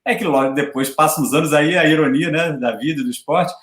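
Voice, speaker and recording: A man hosting a casual live chat about football, easygoing and quick, laughing often. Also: a little high, a bit bright, echoey room. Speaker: host. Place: home, live video stream.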